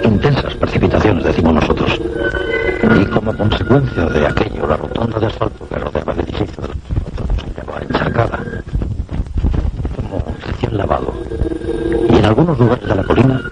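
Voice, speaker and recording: A man's voice: fairly low pitch, expressive, brisk, lo-fi sound, heavy background noise. An actor narrating as a male meteorologist in a suspense radio drama, grave and ominous. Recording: radio drama with loud music bed.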